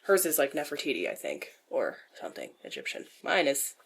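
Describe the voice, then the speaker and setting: A woman's voice: low voice, wildly swooping pitch, a little fast, vocal fry, breathy edge. A young adult woman with a nasal voice answers questions in a casual vlog style, candid and self-deprecating, with dry jokes and swearing. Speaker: vlogger.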